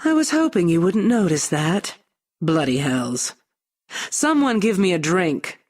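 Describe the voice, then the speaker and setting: A woman's voice: on the low side, big pitch swings, a little slow, darkish tone. An actress playing a spoiled, luxury-loving female adventurer in a fantasy game, haughty and complaining. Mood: exasperated. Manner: disdainful, complaining.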